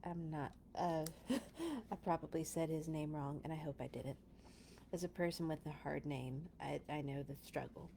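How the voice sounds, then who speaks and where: A woman's voice: sits low, fairly even intonation, moderate pace, gravelly, dark tone, slight background noise. Woman hosting a casual children's storytime. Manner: chatty, informal asides to listeners. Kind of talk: casual read-aloud for children.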